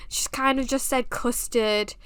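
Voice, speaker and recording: Animated young British woman. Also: a little high, measured pace, clean tone, no echo, clean studio sound. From England. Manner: animated, candid and self-mocking. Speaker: podcast host. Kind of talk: casual monologue.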